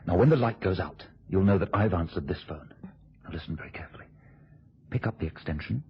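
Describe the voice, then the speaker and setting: Controlling, quick-thinking plotter for radio thriller; rough husky tone, animated delivery, urgent instructions, dull old recording. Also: male voice, deep voice, full character voice. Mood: urgent, scheming. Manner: urgent, instructing, hushed asides to an accomplice. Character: scheming husband, controlling, quick-thinking, coolly plotting a deception.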